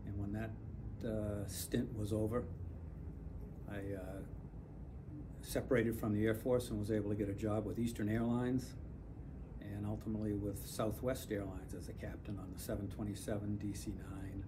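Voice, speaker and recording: Older man, retired pilot type, low and flat delivery, noticeable background hiss. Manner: measured, reflective, pauses with fillers. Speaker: retired airline captain. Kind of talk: community testimonial.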